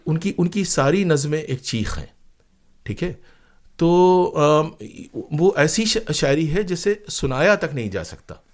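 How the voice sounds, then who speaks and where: A man's voice: fairly high pitch, very animated, takes it slowly. A man speaking as an interview guest, telling stories reflectively and earnestly, with emphatic repeated phrases and rhetorical questions. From India. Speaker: interview guest, playwright and former radio broadcaster.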